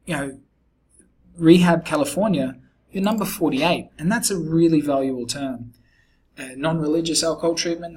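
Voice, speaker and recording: A man with a croaky, hoarse voice talking a client through a website review and sales pitch in a relaxed, conversational way. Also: slight background noise. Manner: conversational, explanatory, frequent fillers and restarts. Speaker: SEO agency founder pitching to a client.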